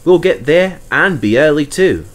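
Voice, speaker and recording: A man's voice: a little high, animated, a little slow, pitched above the performer's own voice. Man voicing an eager, plucky little steam engine. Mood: cheerful, confident. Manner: polite, eager.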